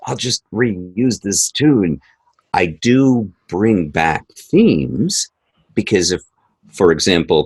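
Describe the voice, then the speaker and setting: A man's voice: rumbling low, husky, no room sound. A man speaking conversationally in an interview, explaining his work as a composer and sound editor and acting out little asides as he goes.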